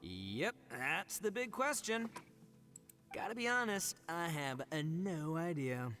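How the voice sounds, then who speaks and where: A brash young oni gang leader, with a bright, forceful voice that swings widely in pitch. He is boisterous and good-hearted, in exaggerated video game style. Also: big pitch swings, takes it slowly, faint music behind, exaggerated voice. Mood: casual, blustering. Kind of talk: English video game voice acting.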